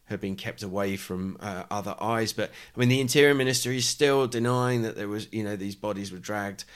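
A man's voice: on the low side, fairly quick, smooth. A man co-hosting a podcast, talking through the news. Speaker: podcast co-host. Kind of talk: casual news discussion.

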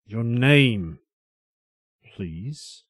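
Man acting as husky, stern older inspector. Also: mid-range voice, lively intonation, no room sound, clean studio sound, full character voice. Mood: stern, measured. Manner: measured, authoritative, formal. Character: stern, probing older male inspector questioning a young arsonist. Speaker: voice actor in an audio drama. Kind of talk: dramatic interrogation dialogue.

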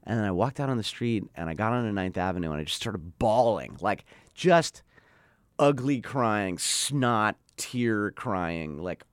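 Middle-aged guy, lowish voice, rambling chatty story, lotsa "like", dry close mic. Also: animated, measured pace, no echo. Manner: chatty, lots of "like", acts out quoted voices. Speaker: guest. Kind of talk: casual storytelling in an interview.

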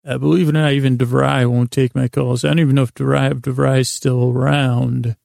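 American guy doing a sleep podcast, super creaky soothing voice, wanders off topic and trips over himself, close dry sound. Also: mid-range voice, little pitch movement, darkish tone, no room sound. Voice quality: lulling, soothing tone. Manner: meandering, halting, digressive, self-deprecating. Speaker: bedtime podcast host.

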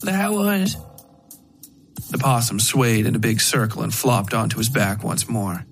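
A man reading dark fiction as a scripted narration, in a measured way with dramatic pauses. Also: lowish voice, steady tone, faint music behind. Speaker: narrator of a horror fiction podcast.

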